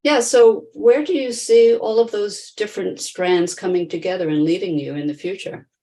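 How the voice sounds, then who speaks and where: A woman's voice: mid-range voice, moderate pace, dark tone. A woman hosting a podcast, introducing and questioning in a conversational interview style. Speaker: podcast host, nonprofit founder.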